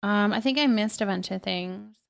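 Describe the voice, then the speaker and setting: A woman's voice: medium pitch, normal speed, vocal fry, clean tone. A woman chatting casually as a live streamer, reading viewer comments aloud and musing on them. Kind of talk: casual livestream chat.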